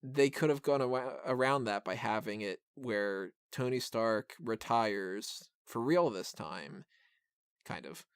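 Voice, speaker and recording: A man's voice: medium pitch, slow pace, clean tone, no room sound, clean studio sound. A man hosting a film review podcast, talking casually and giving opinions in a rambling, self-interrupting way full of "like".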